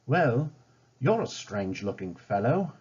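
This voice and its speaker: A man's voice: mid-range voice, sing-song, measured pace, a little mellow, breathy edge, full character voice. A man voicing a friendly, kind-hearted male adventurer from a storybook in a reassuring way. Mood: amused. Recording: dull lo-fi home recording.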